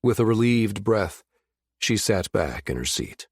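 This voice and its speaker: A man reads science fiction narration in a steady, measured way. His voice sits low, and the recording is dry and close with no room sound. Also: gravelly, slightly rough, no room sound. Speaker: audiobook narrator. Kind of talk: scripted narration.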